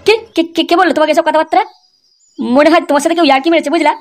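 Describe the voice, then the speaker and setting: A man's voice: extremely high pitch, steady tone, very fast, soft background music. A man voicing a flustered, wheedling, evasive young husband who stammers in a comedy cartoon.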